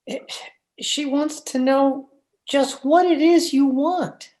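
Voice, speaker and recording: A woman's voice: sits high, steady tone, takes it slowly, darkish tone. A woman playing a timid, henpecked husband who stammers and hesitates, in old time radio horror style. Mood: nervous, pleading. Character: timid, henpecked, frightened husband, a meek little man. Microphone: video call.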